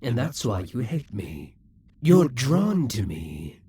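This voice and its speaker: A man playing a husky, possessive demon king. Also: medium pitch, big pitch swings, slow, warm tone, no room sound, clean studio sound, full character voice. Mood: seductive menace. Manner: taunting, possessive, drops to whispers. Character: proud possessive male demon king, scheming. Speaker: voice actor in an audio roleplay. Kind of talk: audio roleplay acting.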